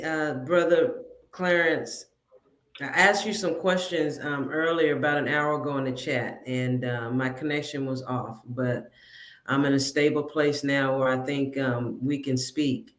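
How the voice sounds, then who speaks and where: A woman calling into a livestream over a video call, asking pointed questions in a deliberate way. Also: deep voice, fairly even intonation, reverberant. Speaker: livestream guest. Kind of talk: casual livestream talk.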